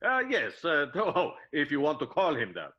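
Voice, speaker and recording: A man's voice: fairly high pitch, wildly swooping pitch, moderate pace, slightly rough, dull audio, exaggerated voice. A man playing a snide, accusing vaudeville troupe member in an improvised radio play.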